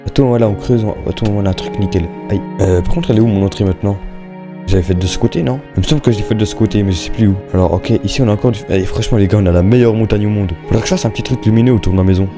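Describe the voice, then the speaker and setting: Fast-talking young man, casual gamer commentary. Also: on the low side, over music. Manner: informal, slangy, chatty, thinking aloud. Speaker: gaming YouTuber. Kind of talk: casual Minecraft let's-play commentary.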